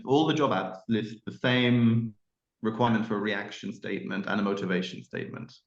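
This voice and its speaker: A man explaining the application requirements at an online information session, measured and peppered with "you know" asides. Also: fairly low pitch. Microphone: video call. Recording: roomy, muffled call audio.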